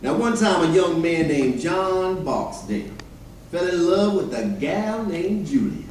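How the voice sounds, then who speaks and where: A man acting a folksy old-time storyteller with an American accent, telling tales in an animated readers theater monologue. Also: high-pitched, a little slow. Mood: storytelling. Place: chapel stage performance. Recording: very echoey chapel, distant mic, faint noise.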